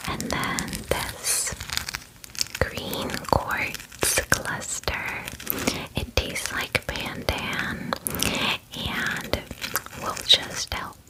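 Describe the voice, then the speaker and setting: A woman voicing a chatty, clingy female dragon in a breathy ASMR whisper, gushing casually like a best friend in a fantasy roleplay. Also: extremely slow, poor audio. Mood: doting, bubbly. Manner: gushing valley-girl chattiness, filler-heavy, casual.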